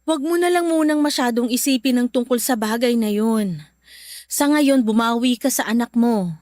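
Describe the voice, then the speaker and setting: A woman's voice: fairly high pitch, normal speed, darkish tone, no room sound, clean studio sound, natural voice. A Filipino woman playing a middle-aged mother in a radio drama, earnest and maternal, firm but caring. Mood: gentle, reassuring.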